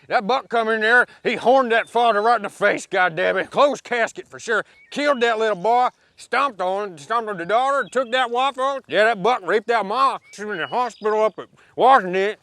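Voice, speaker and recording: A man playing an excitable, folksy hunter, rambling wildly in a comedy sketch. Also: high-pitched. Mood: manic warning. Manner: rambling, fast-talking, colloquial.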